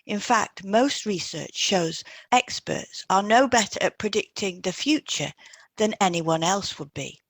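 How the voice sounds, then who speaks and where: A woman's voice: mid-range voice, fairly bright tone, no echo. A woman co-hosting an educational podcast, reading scripted material in an explanatory way. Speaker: podcast co-host and hypnotherapist.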